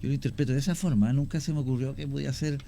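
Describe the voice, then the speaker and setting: A man's voice: medium pitch, racing, vocal fry, mellow. A man with a Chilean accent, a radio guest telling cemetery stories at length with frequent hesitations. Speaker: guest storyteller on cemetery history. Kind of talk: radio conversation, storytelling. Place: radio studio.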